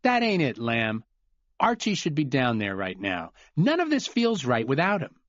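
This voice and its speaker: A man's voice: medium pitch, huge pitch swings, normal speed, no echo, dull audio, voice pushed up. A man voicing a bitter, belligerent rich man who grumbles and sneers, in an audiobook. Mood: resentful. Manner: sneering and grumbling, then shouting.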